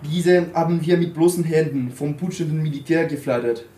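A man with a slightly high voice reads a passage aloud in turns, sometimes tripping over words. The sound is roomy, with a faint hiss. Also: steady tone, fairly quick, dark tone. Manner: occasional stumbles over words. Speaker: co-presenter reading aloud. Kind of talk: alternating reading of a text.

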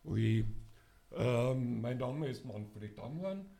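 An older man, hoarse, speaking casually and haltingly to a live audience as a singer-songwriter. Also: mid-range voice, animated, mellow. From Bavaria, Germany. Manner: halting, many filler sounds. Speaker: singer-songwriter introducing an event.

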